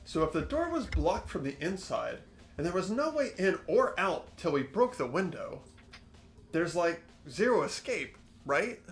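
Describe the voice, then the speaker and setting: Man playing an earnest, animated, uncertain teenage schoolboy. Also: sits high, unhurried, faint music behind, heavily acted. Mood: puzzled, curious. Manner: questioning, hesitant, thinking aloud. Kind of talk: fan voice-over of a mystery visual novel.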